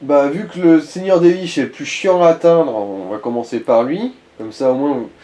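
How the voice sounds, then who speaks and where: A man's voice: on the high side, normal speed, mellow. A man giving casual, blunt gameplay commentary and tips to the player, peppered with crude slang and swearing. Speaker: let's play co-commentator guiding the player.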